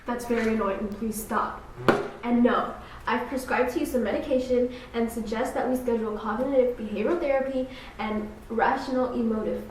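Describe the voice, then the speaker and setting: A woman's voice: fairly high pitch, steady tone, moderate pace, lightly acted. Young woman as blunt grumpy dwarf psychologist, roomy sound. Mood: clinical. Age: young adult. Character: grumpy dwarf who works as a behavioral psychologist, exasperated and blunt. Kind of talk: student skit, scripted diagnostic monologue. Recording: roomy, distant camera mic with hiss.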